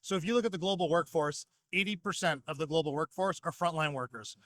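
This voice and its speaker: A man's voice: on the high side, fast, fairly bright tone, no room sound. A man giving a fluent, structured product explanation as a company representative in an interview. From North America. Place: trade show exhibition hall.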